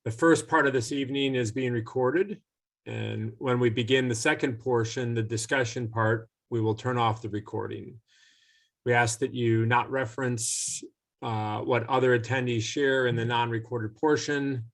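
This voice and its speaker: A man's voice: mid-range voice, moderate pace, creaky. Businesslike man hosting an online event. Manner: steady, businesslike, occasional uh fillers. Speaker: host, moderator.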